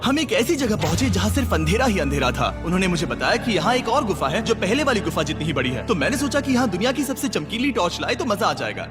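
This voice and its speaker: A high, swooping male voice from a dub actor plays an excitable challenge-video host. He narrates and banters over clear background music. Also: fast talker, hardly any pauses. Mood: upbeat narrating. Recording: music laid under the voice.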